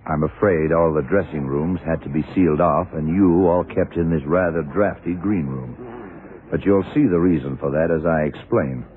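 A blunt, self-assured police detective with an extremely low voice. He questions methodically and deliberately, like a classic radio drama investigator. The sound is an old, noisy broadcast with a narrow band. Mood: brisk, in command. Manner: deliberate, probing, punctuated with yeah and right. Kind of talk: 1970s radio drama acting.

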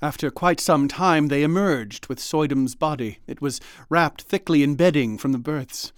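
An actor playing an earnest, haunted ship's doctor in a radio drama, speaking in a formal, old-fashioned manner. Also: male voice, a little high, moderate pace, clear, resonant tone, no room sound, clean studio sound, close to an everyday voice. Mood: grave, recounting. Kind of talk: radio drama acting and narration.

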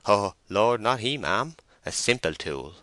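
A man voicing a shrill, domineering middle-aged farm wife, in an audiobook story. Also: expressive, a little slow, no room sound. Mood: scornful, bossy. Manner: loud, emphatic rustic speech.

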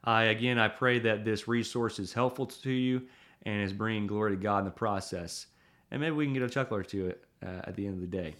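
Chatty preacher, low voice. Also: male voice, fairly quick, a bit bright. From Tennessee. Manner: chatty, self-deprecating, frequent filler words. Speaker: podcast host and preacher. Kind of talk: casual podcast chat and storytelling.

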